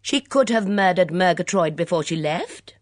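Storybook young man, acted by a woman: English, cocky, curious, argumentative, emphatic, pitched up. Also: expressive, moderate pace, no echo, studio quality, natural voice. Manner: eager, triumphant, emphatic.

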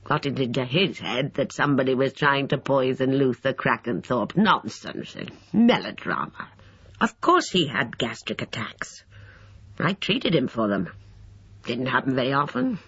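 A male narrator playing an aged, irritable country doctor with a gruff, dismissive manner, on a dull lo-fi recording. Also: medium pitch, very animated, measured pace, fairly bright tone, no echo, heavily acted.

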